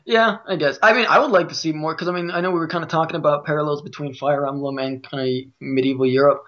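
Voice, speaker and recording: A man's voice: a little high, fairly even intonation, fast, a bit bright, lo-fi sound. A man with a Midwestern American accent talking casually as host of a podcast discussion. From Midwest United States.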